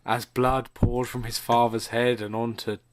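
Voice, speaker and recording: A man's voice: medium pitch, little pitch movement, measured pace, a little hiss or hum. A man hosting a comedy podcast, moving between casual banter and mock-dramatic storytelling. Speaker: podcast host and radio play narrator.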